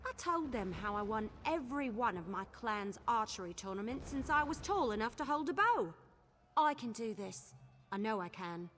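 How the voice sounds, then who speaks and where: A woman's voice: on the high side, sing-song, moderate pace, a bit bright, slight background noise. A woman voicing a cocky, impatient young female wood elf archer in a video game, with a sarcastic, grumbling, boastful manner. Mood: eager, proud.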